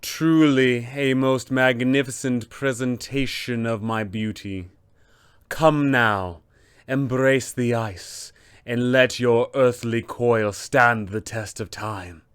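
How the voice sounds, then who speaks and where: A man voicing an arrogant, merciless winter goddess for a tabletop game, with a grandiose, drawn-out and imperious delivery. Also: mid-range voice, clean tone, no echo, clean studio sound, natural voice. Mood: imperious, luring. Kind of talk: tabletop RPG villain monologue.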